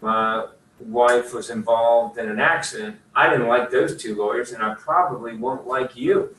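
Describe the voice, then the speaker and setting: An older man chatting in a folksy, rambling way, full of asides and fillers. His voice sits at an ordinary pitch and sounds far off in an echoey room, with a faint hiss underneath. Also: fairly even intonation, moderate pace, fairly bright tone. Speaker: attorney. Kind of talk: casual talk at a career session. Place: room at a career services office.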